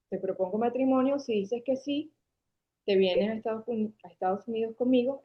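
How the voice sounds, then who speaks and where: Mujer venezolana de Caracas, sonido opaco. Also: female voice, mid-range voice. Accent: Venezuelan Spanish from Caracas. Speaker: guest, marketing agency founder. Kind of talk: interview storytelling. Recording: dull lo-fi sound.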